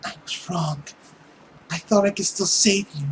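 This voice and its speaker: A man's voice: high voice, measured pace, slight background noise, voice pushed up. A man voicing an earnest, emotional young woman in a visual novel, speaking in a dramatic, pleading way.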